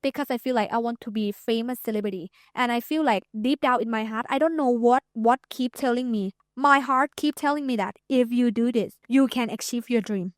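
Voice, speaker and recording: A woman's voice: on the high side, brisk, hardly any pauses, no room sound, studio quality. A young Thai woman with a Thai accent, a guest chatting casually and loosely, full of "like" and "you know". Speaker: guest, TikTok creator. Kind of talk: casual interview chat.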